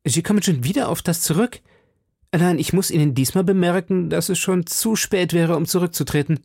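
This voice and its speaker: A man voicing a brash young gallant in an audiobook, inquisitive, impulsive and briskly exclamatory. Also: on the high side, gravelly, darkish tone, breathy edge, dry recording, studio quality, pitched above the performer's own voice. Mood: pressing. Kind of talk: audiobook character dialogue.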